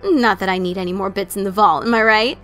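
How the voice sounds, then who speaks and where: A woman's voice: mid-range voice, fast, a bit bright, faint music behind, lightly acted. A woman performs a breezy cartoon mare adventurer, self-satisfied and teasing. She delivers a casual, boastful letter as part of an audio story reading. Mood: breezy, smug. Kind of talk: dramatic reading of fan fiction.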